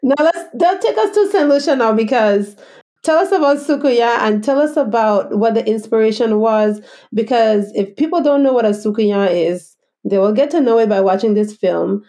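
Warm-voiced woman interviewer from the Virgin Islands. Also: on the high side, normal speed. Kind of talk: casual interview.

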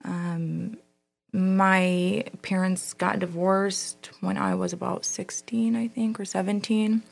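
A woman's voice: medium pitch, steady tone, slow pace, creaky, soft background music. A woman from Michigan, a guest in a casual interview, speaking hesitantly and reflectively with many ums and restarts. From Dearborn, Michigan.

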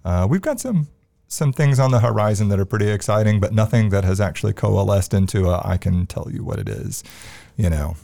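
Relaxed man with a deep mellow voice. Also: a little fast, vocal fry, dry recording. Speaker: guest, bookstore owner. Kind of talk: casual podcast interview.

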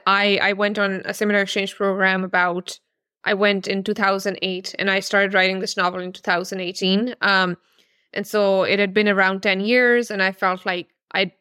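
A woman's voice: mid-range voice, sharp tone, clean tone, no room sound, studio quality. A woman in her thirties from Pakistan, a novelist answering interview questions thoughtfully, with many fillers and restarts. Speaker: novelist guest.

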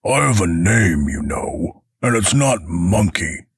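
A man voicing a big, slow-witted male ape guard who talks in a sulky, childlike way. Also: low-pitched, animated, slightly rough, no room sound, clean studio sound, pitched above the performer's own voice, full character voice.

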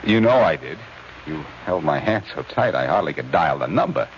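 An actor playing a cool-headed, wry detective in a 1940s radio mystery. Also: male voice, a bass voice, sing-song, normal speed, noisy background, exaggerated voice. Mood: brisk, firm. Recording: muffled old radio recording, music behind.

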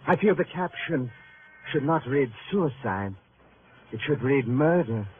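A man's voice: medium pitch, lively intonation, a little slow, narrow, tinny audio, close to an everyday voice. Guy acting a vain arty press photographer, fussy formal talk, old radio drama, thin sound. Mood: self-important, grave. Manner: florid, formal, precise phrasing. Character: vain, theatrical male news photographer, self-styled artist. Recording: noisy, thin vintage radio recording.

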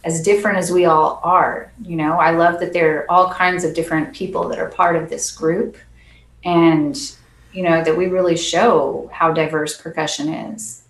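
Soft-spoken earnest woman, echoey room. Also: on the low side, little pitch movement, normal speed. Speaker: percussionist guest. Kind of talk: casual interview chat.

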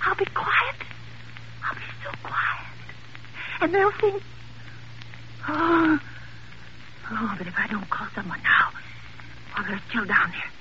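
A woman's voice: high-pitched, big pitch swings, takes it slowly, pauses often, heavily acted. An actress playing a frantic, terrified woman pleading on the telephone in a stammering 1940s radio melodrama. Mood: hushed terror. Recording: muffled, noisy vintage radio recording.

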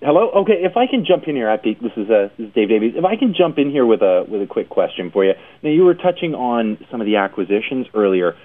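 A man's voice: mid-range voice, very animated, fast talker, hardly any pauses. A man co-hosting a casual interview show and putting questions to a guest. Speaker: co-host asking questions. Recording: thin, narrow phone line.

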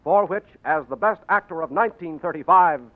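A man's voice: on the high side, brisk. A man announcing in a formal, grand, declamatory 1940s radio style. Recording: tinny 1940s radio recording, music behind.

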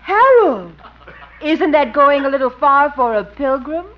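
High, cooing coquette. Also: female voice, very animated, unhurried. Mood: coy, teasing. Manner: cooing, sugary baby talk. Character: coquettish, flattering young female dancing teacher. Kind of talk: 1950s American radio comedy acting. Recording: noisy, muffled old radio recording.

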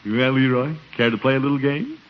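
An actor playing a pompous, blustering middle-aged uncle with a booming, chuckling manner in a 1950s radio comedy. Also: male voice, fairly quick, natural voice. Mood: hearty, scheming. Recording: dull, noisy 1950s radio recording.

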